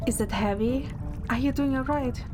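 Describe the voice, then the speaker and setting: A woman's voice: high voice, normal speed, over music. A woman reading scripted first-person narration and dialogue as the heroine of an audio drama. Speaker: audio drama narrator, also playing the young producer heroine.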